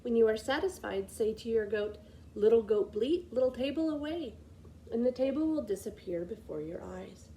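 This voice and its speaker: Woman playing a measured, wise old woman. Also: fairly high pitch, animated, mellow, a little hiss or hum, voice pushed up, poor audio. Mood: kindly, instructive. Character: wise, kindly old woman giving magical advice. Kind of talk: storybook character voice.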